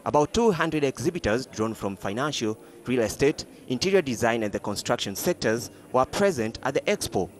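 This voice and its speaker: A man's voice: mid-range voice, lively intonation. Man reading a formal news voice-over. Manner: measured, formal delivery. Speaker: news reporter. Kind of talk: scripted news narration.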